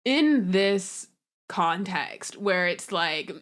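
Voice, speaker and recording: A woman's voice: medium pitch, lively intonation, drawn-out words, a bit bright. A woman speaking as a podcast guest in a casual panel chat, informal and conversational.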